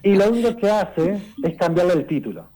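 Mellow-voiced man explaining unhurriedly. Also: sits high, big pitch swings, low-quality recording. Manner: unhurried and explanatory, tags lines with "¿no?" and "eh". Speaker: literature columnist on a radio show. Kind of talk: conversational radio column.

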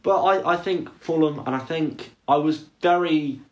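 A man's voice: fairly high pitch, dull audio. A man co-hosting a podcast, chatting casually and talking through his views at length, often breaking off into asides. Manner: opinionated, quick and talkative, trails into asides. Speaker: podcast co-host. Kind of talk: casual football chat.

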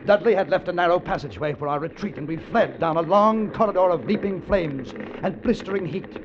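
A man's voice: sits high, brisk, noisy background, natural voice. A man acting an anguished adventurer who recounts an ordeal in a dramatic, intense 1950s radio style. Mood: tense, dramatic. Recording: dull vintage radio recording, music behind.

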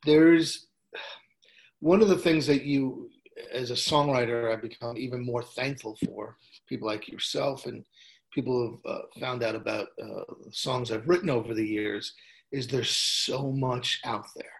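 A man with a gravelly voice, a songwriter chatting casually as a guest, telling rambling stories at home. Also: medium pitch, a little slow, low-quality recording. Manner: relaxed, rambling storytelling. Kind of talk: casual chat. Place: at home with guitar.

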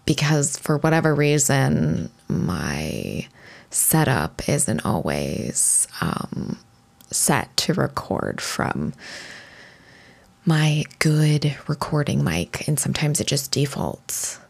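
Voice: medium pitch, very animated, slow pace, gravelly. A podcast host talking casually on their own, rambling with lots of fillers and restarts. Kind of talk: casual solo podcast monologue.

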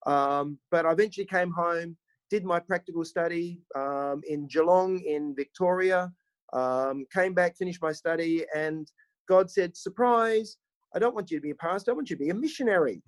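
A man's voice: fairly high pitch, normal speed, no echo. A man from rural South Australia with an Australian accent, a pastor telling his story as a podcast guest in a hesitant, self-deprecating, conversational way.

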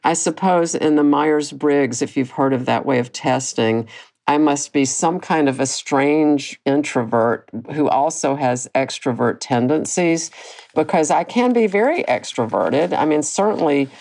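A woman in her sixties hosting a personal podcast, talking candidly and reflectively with a low voice that does not carry, often restarting her phrases. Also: measured pace, darkish tone, low-quality recording. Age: 60s. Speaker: podcast host.

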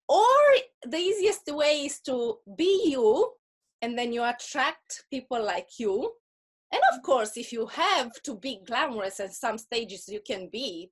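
High-voiced woman with a Russian accent. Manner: animated, earnest, repeats words when starting a point. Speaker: guest. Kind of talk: casual interview chat.

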